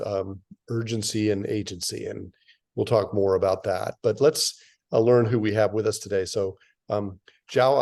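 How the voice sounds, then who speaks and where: A man's voice: fairly low pitch, a little mellow. A man hosting a webinar on a video call, speaking casually with many fillers. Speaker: webinar host, graduate program director.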